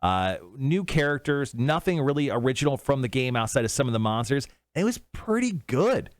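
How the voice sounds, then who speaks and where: A man co-hosting a podcast, chatting casually about movies in an informal, emphatic way with some drawn-out words. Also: mid-range voice, very animated, no room sound. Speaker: podcast host.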